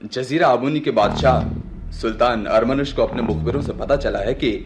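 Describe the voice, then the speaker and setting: Actor as army commander, formal, ceremonious, radio drama, clear background noise. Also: male voice, mid-range voice, normal speed, lightly acted. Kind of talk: radio play acting. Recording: noisy radio recording.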